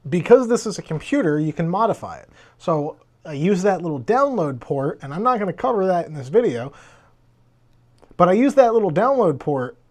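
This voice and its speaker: Higher-voiced man reviewing gadgets. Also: very animated, moderate pace. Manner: conversational, explanatory. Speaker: tech reviewer. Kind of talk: casual hands-on gadget review.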